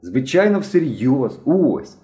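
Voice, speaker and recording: A man voicing an excitable, cheeky young boy in an audiobook, defensive and easily flustered. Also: fairly low pitch, normal speed. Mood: cheeky defiance. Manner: boastful, defiant, turning whiny when cornered.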